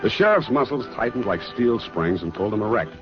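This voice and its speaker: A man's voice: on the low side, lively intonation, a little fast, music in the background, close to an everyday voice. An American man voicing a tough pulp Western storyteller, reading with melodramatic drawl in old radio style. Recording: muffled 1949 radio recording.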